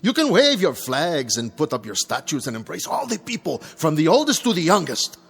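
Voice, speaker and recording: An actor playing a deposed old dictator in a radio drama, defiant and scornful, speaking rhetorically in a gravelly, husky voice. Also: male voice, fairly high pitch, huge pitch swings, normal speed, a bit bright, heavily acted. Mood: scornful defiance. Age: older. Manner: theatrical, rhetorical. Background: faint music.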